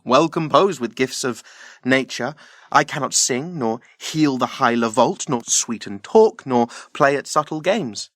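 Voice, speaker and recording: A man's voice: medium pitch, a bit bright, dry recording, studio quality. A man playing an ardent, jealous young Trojan prince in love, delivering classical verse earnestly in an audio drama. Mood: anxious, tender. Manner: earnest, declamatory.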